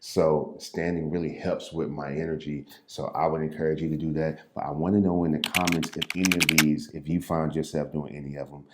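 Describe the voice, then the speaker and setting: A man from St. Louis, a real estate coach, giving an impromptu talk to camera while standing at his call desk, with a naturally low, somewhat monotone voice and a loose, conversational delivery. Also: very noisy. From Midwest US, St. Louis. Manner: unhurried, loose and conversational, with repeated words and fillers. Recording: echoey room.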